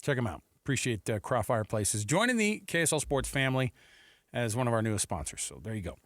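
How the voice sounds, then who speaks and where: Casting: American male sports radio host, bright and punchy, dry studio mic, casual opinionated commentary with rhetorical questions. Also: mid-range voice, big pitch swings, fast talker, dry recording. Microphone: close studio headset mic.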